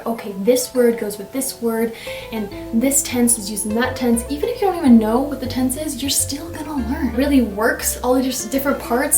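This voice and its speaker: Young woman, upbeat casual vlogger, higher voice, recorded off-mic in an echoey room. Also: darkish tone, far from the mic. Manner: chatty and upbeat, lots of "like" and "right?". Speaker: language-learning vlogger. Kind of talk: casual to-camera vlog.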